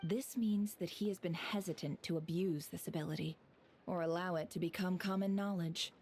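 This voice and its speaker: A woman voicing a composed, analytical female investigator in a video game, speaking in a measured, deliberate, formal way. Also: on the low side, fairly even intonation, darkish tone, a little hiss or hum, close to an everyday voice. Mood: calm, analytical.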